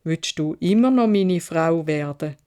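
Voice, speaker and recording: Woman as an earnest young prince, slow Swiss German. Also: deep voice, expressive, dark tone, clean tone. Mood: earnest, joyful. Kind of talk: storybook character voice.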